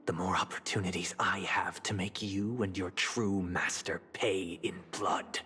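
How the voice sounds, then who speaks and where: An actor playing a cold, controlled aristocratic man in a video game, formal, deliberate and menacing, bent on vengeance. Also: deep voice, steady tone, sharp tone, slightly rough, exaggerated voice. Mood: cold menace. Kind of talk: dramatic video game voice acting. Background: game music.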